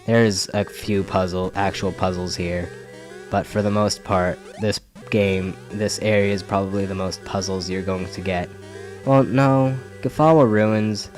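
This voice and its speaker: A man's voice: on the low side, darkish tone. A young man with a slight head cold giving casual, rambling let's play commentary, often correcting himself. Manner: rambling, self-correcting, wry. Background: faint game music.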